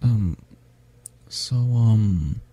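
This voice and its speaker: A man playing a tall, affectionate, flirty half-dragon in an intimate ASMR roleplay, speaking gently and haltingly with a low, husky, gravelly voice. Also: heavily acted. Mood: shy, hesitant. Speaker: roleplay voice actor.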